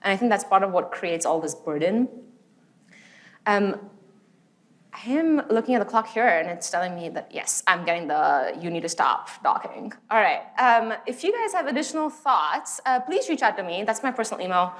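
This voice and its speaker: A woman's voice: medium pitch, expressive, normal speed. A woman moderating a panel discussion among clinicians, polite and thanking each speaker.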